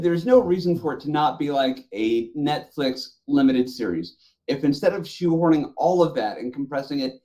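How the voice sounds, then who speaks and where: Male podcast co-host, mid-pitched and mellow, effusive and opinionated, casual panel talk. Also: rough recording.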